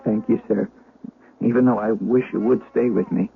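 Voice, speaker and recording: An actor playing an educated former shepherd, haunted, gravely and deliberately recounting his youth in a radio drama. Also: on the low side, steady tone. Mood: anxious, pleading. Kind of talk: 1970s radio drama narration. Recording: muffled vintage radio recording, music behind.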